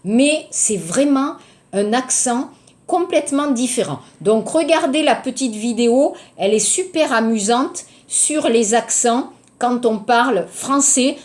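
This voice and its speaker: A woman's voice: on the high side, lively intonation, measured pace, darkish tone. A woman with a southern French accent teaching a language lesson, repeating key words and checking that learners follow. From southern France. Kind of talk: recorded online language lesson.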